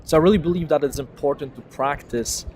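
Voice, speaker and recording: A man's voice: fairly high pitch, fast, background noise. A man giving advice in casual conversation, explaining earnestly and acting out example dialogue.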